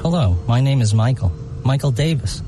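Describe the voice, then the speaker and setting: A man's voice: mid-range voice, lively intonation, moderate pace, noisy background, close to an everyday voice. A man acting a polite plane passenger in a scripted language-lesson dialogue. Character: polite male plane passenger answering a new acquaintance.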